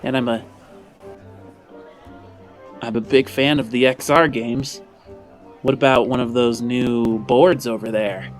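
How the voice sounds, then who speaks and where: A man's voice: medium pitch, fairly even intonation, takes it slowly, long pauses, soft background music, close to an everyday voice. A man voicing a guarded, bargaining boy in a tabletop roleplaying game. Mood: sly bargaining.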